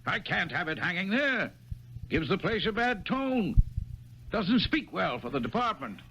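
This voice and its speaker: Pompous blustering official, piercing bright tone, exasperated, 1970s radio drama, dull noisy recording. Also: male voice, sits high, big pitch swings, unhurried, exaggerated voice. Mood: flustered, disdainful. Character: exasperated, imperious male Russian government official.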